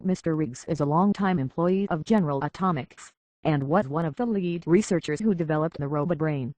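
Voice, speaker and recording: Man playing a prim British robot butler, high-voiced. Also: a little fast, darkish tone, no room sound, natural voice. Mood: courteous, formal. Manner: formal, deferential, fussily polite. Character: prim, courteous, anxious robot butler serving as hotel concierge. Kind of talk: scripted video game character acting.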